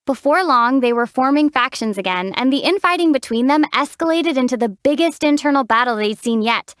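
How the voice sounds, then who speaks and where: An actress voicing a calm, wry young female traveler hero in a video game, giving dry teasing explanations and sharp battle shouts. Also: high voice, fairly quick, no echo. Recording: dry close studio voice.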